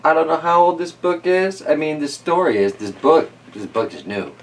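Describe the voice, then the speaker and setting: A man hosting a children's story reading, mixing casual, easygoing chat and musing asides with animated storybook narration. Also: a little high, normal speed, distant mic.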